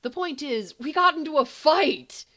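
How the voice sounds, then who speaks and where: Brash, placating teenage boy, played by a woman narrator, emphatic and talkative, very lively intonation, audiobook. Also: high-pitched, a little slow, pitched above the performer's own voice. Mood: joking, excited. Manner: emphatic, rambling. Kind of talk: acted audiobook dialogue.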